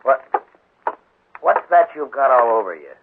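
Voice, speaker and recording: An actor playing a firm, shrewd American frontier marshal in a 1950s radio western, dry and commanding, with a husky voice. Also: male voice, mid-range voice, sing-song, measured pace, noisy background. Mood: exasperated. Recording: 1950s radio broadcast, narrow tinny sound.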